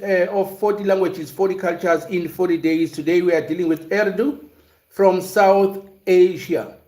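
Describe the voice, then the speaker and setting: A man from South Africa talks casually on a livestream, often pausing and restarting mid-sentence. His voice sits a little high for a man. The room gives it a noticeable echo. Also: a little mellow. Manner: hesitant, many fillers and restarts. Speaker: livestream host. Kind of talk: casual livestream commentary reading chat. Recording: roomy echo.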